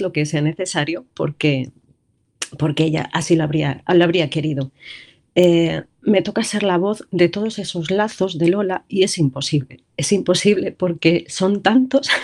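Tearful woman with a trembling voice. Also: fairly low pitch. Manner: halting, many restarts, nervous laughs. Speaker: radio show voice-over announcer. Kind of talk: emotional tribute on live radio.

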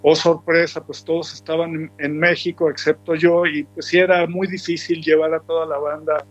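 Guy musician, kinda high voice, rambling on, dull lo-fi sound. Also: level tone, normal speed. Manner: reflective, rambling with frequent restarts. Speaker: musician guest. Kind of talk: relaxed radio interview. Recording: dull lo-fi recording with faint music.

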